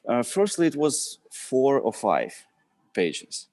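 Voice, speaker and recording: A man's voice: mid-range voice, big pitch swings, takes it slowly, darkish tone, no room sound. A man with a Ukrainian accent, an actor and writer speaking as a guest in an interview, hesitant with many fillers and restarts. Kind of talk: live interview chat.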